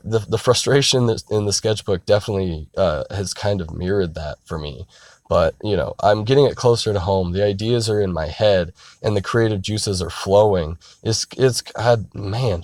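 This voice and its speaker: A man in his thirties, hoarse and raspy from being sick, talking candidly and rambling earnestly from inside his parked car. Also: fairly low pitch, a little mellow, no room sound, studio quality. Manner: rambling, earnest, frequent restarts and "you know". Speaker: artist vlogger. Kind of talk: candid casual talk to camera.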